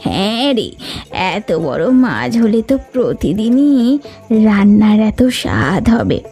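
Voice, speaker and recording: A woman's voice: medium pitch, very animated, slow pace, dark tone, faint music behind. A woman voicing a poor, homely and hopeful village mother in a cartoon. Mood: confiding, hopeful. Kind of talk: Bengali cartoon voice acting.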